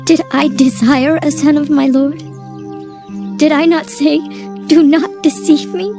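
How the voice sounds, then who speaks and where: A woman's voice: high-pitched, fairly even intonation, measured pace. A woman acting a devoted, earnest mother who pleads, in a dramatized scripture reading. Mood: pleading. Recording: music bed behind voice. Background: music.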